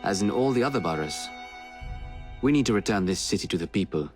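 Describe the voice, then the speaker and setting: An actor playing a courteous, earnest, well-informed mentor in a video game, speaking in a measured, polite manner. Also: male voice, lowish voice, animated, lightly acted. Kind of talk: video game cutscene acting. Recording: music and noisy street behind.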